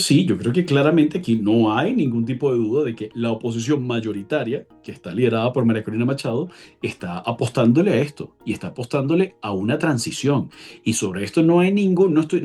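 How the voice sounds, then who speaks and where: A man with a rough, husky voice at a middling pitch. He speaks as an expert analyst in an interview, laying out points emphatically and reworking his phrases as he goes. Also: lively intonation, fairly quick, dark tone. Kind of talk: spoken political analysis in an interview.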